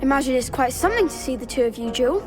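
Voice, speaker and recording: A high, youthful schoolgirl from a fantasy game. She explains things briskly and with confidence, like an organiser of a student club. Music plays clearly behind her. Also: expressive. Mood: eager, confident. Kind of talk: scripted video game acting.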